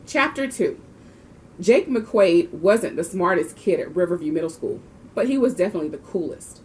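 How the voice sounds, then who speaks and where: A woman's voice: lowish voice, expressive, moderate pace, darkish tone, slight background noise. A woman reading a children's book aloud expressively, with the occasional small stumble. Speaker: volunteer reader of a children's book. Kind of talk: storybook read-aloud.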